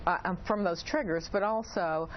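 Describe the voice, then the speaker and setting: A woman speaking as an expert guest in an interview, explaining in a hesitant way with frequent pauses. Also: lowish voice. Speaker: expert guest, principal investigator. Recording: dull lo-fi audio, clearly noisy.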